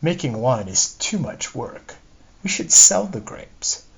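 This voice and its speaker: A man's voice: medium pitch, big pitch swings, takes it slowly, gravelly, breathy edge, pitched above the performer's own voice, exaggerated voice. A man reading a literary monologue as a wistful, discontented middle-aged wife, confiding and opinionated, in his own everyday voice. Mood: exasperated. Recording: dull lo-fi recording.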